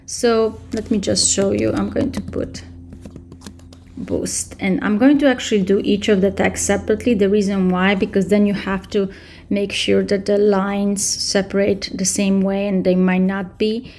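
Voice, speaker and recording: A woman in her thirties giving a casual screen-share software tutorial indoors at her computer, explaining step by step with frequent fillers. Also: mid-range voice, level tone, moderate pace, slight background noise. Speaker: tutorial presenter.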